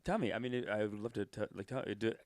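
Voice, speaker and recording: Fast-talking animated man. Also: lowish voice, dry recording, studio quality. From Canada. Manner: animated, joking, fast storytelling. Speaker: podcast co-host and comedian. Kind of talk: casual podcast chat.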